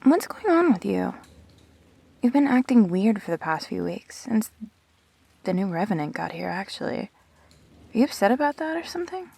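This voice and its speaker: Woman acting a lively, blunt, teasing friend. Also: mid-range voice, moderate pace, creaky, pauses often, breathy edge, dry recording, exaggerated voice. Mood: concerned. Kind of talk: intimate sci-fi audio roleplay acting.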